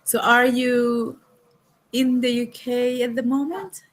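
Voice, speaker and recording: A woman's voice: a little high, level tone, takes it slowly. A woman from Indonesia, a preschool teacher and English learner, chatting on a video call in a thoughtful way and laughing easily. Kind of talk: casual video call chat. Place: home in the Netherlands.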